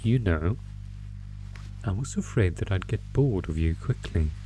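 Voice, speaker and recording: Man voicing a deep, slow, gloating sadistic monster. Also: expressive, dark tone, slight background noise, poor audio. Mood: mocking, toying. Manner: theatrical, taunting, drawn-out delivery. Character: sadistic male monster gloating over captive prey. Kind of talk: ASMR horror audio roleplay.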